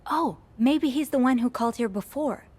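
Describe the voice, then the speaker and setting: An actress playing a young, curious and polite hotel maid in a video game. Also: female voice, high-pitched, normal speed, darkish tone, breathy edge. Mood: intrigued.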